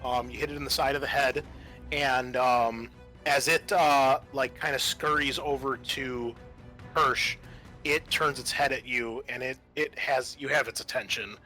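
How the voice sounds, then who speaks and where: Bright-voiced man running a tabletop game. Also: mid-range voice, fairly even intonation, unhurried. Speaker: game master of a tabletop roleplaying game. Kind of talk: improvised game narration. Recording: faint music behind the voice.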